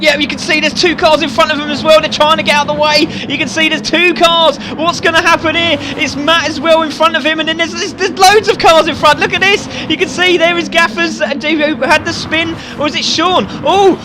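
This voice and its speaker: A man giving excited live commentary on a sim race, often shouting and laughing. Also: very high-pitched, a little fast, few pauses, crowd noise, noisy background.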